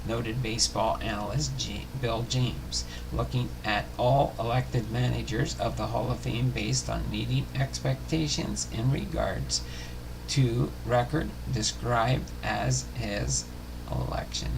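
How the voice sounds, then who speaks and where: A man hosting a baseball history series, reading biography notes aloud in a halting way with small stumbles and self-corrections. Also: mid-range voice, expressive. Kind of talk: scripted biography narration, read aloud. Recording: clearly noisy home recording.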